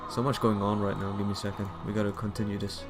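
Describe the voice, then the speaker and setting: A young man livestreaming a game, chatting casually in a halting, rambling way and often repeating his phrases. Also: lowish voice, monotone, over music. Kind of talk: casual chat while gaming.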